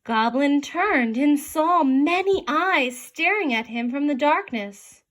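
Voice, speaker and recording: A woman reading a children's picture book aloud in an expressive narrating style. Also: sits high, slow pace. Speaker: storybook reader.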